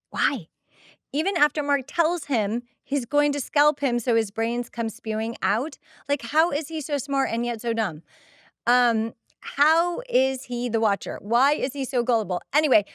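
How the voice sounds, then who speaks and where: Female podcast host, middle-aged, higher-pitched and crisp, expressive recap delivery with exasperated asides, dry recording. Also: dry recording. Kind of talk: episode recap reading timestamps and notes, with casual asides.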